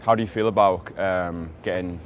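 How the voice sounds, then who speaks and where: A man conducts a playful street interview, teasing the other person with cheeky jokes. His voice sits on the low side. Faint city street sound runs behind him. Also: steady tone, moderate pace, highs cut off, slight background noise.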